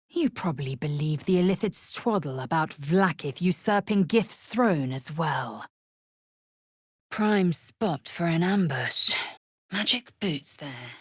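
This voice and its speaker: An actress voicing a proud, fierce, scornful female alien warrior in a video game, with a husky, raspy voice and an imperious, clipped, deliberate way of speaking. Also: on the low side, lively intonation, no echo, studio quality. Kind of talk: video game character voice lines.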